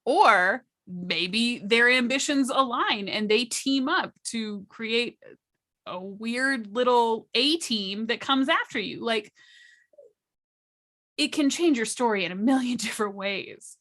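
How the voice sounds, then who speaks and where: A woman with a bright, slightly high voice talks casually about storytelling in tabletop games. She explains with emphasis and stretches out the words that matter to her. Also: takes it slowly. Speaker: panelist at a tabletop roleplaying storytelling workshop.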